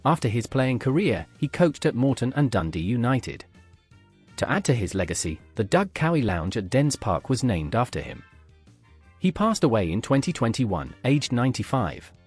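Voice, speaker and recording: A man narrating a scripted football history piece in a measured way, letting each sentence fall at its end. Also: mid-range voice, sing-song, darkish tone, husky, soft background music.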